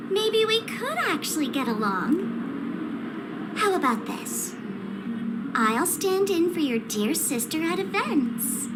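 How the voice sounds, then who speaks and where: Sly mocking game trickster, high voice swooping all over, loud noise behind. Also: female voice, heavily acted. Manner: teasing, flippant, theatrical. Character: mocking, playful trickster woman, sly and irreverent. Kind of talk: scripted dramatic video game cutscene dialogue.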